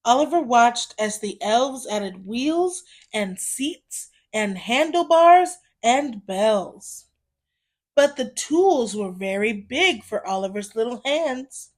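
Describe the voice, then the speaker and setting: A woman reads a children's story aloud, narrating expressively and deliberately for young listeners. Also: fairly high pitch, lively intonation.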